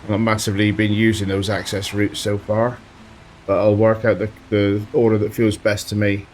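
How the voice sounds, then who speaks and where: Guy w a bit of a sore throat chatting over his truck game, low and kinda monotone, faint hum behind. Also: moderate pace. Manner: relaxed, thinking aloud while driving. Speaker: let's play host. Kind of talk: casual gameplay commentary.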